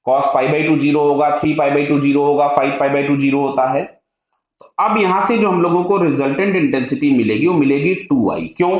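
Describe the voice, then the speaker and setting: Man, Indian accent, physics teacher, step-by-step explaining, self-answered check questions, strong room echo, dull muffled recording. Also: on the high side. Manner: asks short check questions and answers them himself; mixes Hindi with English technical terms. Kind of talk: step-by-step lesson, working through a problem aloud.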